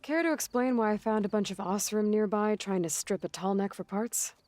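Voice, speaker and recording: A woman's voice: medium pitch, brisk, a little mellow, dry recording, close to an everyday voice. Woman as a skeptical game heroine, dry sound. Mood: probing. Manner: dry, pointed, understated questioning. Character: level-headed, skeptical young heroine, principled wanderer. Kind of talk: video game cutscene dialogue.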